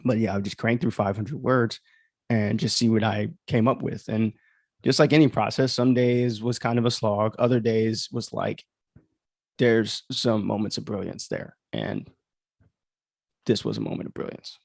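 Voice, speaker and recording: Man musing casually in a creaky voice. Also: fairly low pitch, moderate pace, a little mellow, no room sound, clean studio sound. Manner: rambling, self-deprecating, musing aloud. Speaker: author and livestream host. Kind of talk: casual livestream commentary on own writing.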